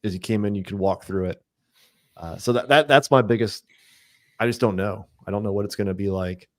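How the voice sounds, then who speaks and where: Low-voiced man musing on a podcast. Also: a little fast. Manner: musing, thinking out loud. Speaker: podcast host. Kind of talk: casual chat.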